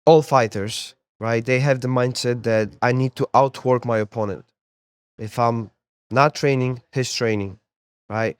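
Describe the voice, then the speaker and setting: Casting: young man from Poland, guest therapist, smooth clear voice, dry close sound, easygoing talk. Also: medium pitch, a little slow, no echo. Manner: relaxed, repeats words while finding them. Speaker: guest, sports therapist and MMA coach. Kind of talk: casual interview talk.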